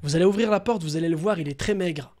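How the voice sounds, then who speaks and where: A young man with a notably high voice talks on a casual live stream. He is animated and slangy, swears freely and spins crude stories like a prank caller. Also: fast. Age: young adult. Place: small room at home.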